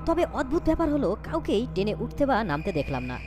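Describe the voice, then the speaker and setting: A young, fearful storyteller played by a woman recounts a cartoon ghost tale with dramatic wonder. The voice is on the high side and rises and falls freely. Music plays behind it. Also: wildly swooping pitch, quick pace. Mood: wondering, uneasy. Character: young, anxious storyteller caught in eerie events. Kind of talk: scripted cartoon ghost-story narration and dialogue.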